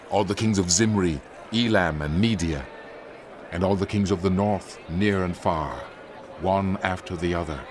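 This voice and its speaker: A man playing a solemn prophet who relays divine judgment, declaiming in a grave, measured way in a dramatized scripture narration. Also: lowish voice, a little hiss or hum. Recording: faint music behind the voice.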